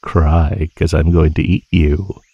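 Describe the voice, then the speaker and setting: Man playing a very deep, slow, menacing naga. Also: fairly even intonation, gravelly, breathy edge, no room sound, highs cut off, heavily acted. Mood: gleeful belittling. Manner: slow, mocking, condescending drawl with drawn-out pauses. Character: teasing, menacing male naga predator toying with captive prey. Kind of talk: ASMR roleplay acting.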